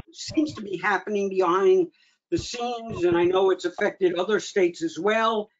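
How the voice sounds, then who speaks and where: A man with a notably high voice hosts a remote meeting and delivers an informal briefing. He speaks haltingly, often pausing in the middle of sentences. The sound is slightly muffled, low-fidelity conference audio. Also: a bit bright. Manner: halting, with frequent pauses mid-sentence. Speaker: meeting host, association official giving updates. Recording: dull lo-fi online meeting audio.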